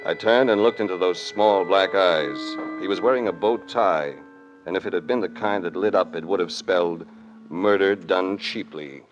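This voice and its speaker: An actor playing a cool, tough American investigator, narrating and talking in a wry, clipped hardboiled 1940s radio drama style. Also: male voice, low voice, moderate pace, music in the background, lightly acted. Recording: noisy old radio broadcast recording.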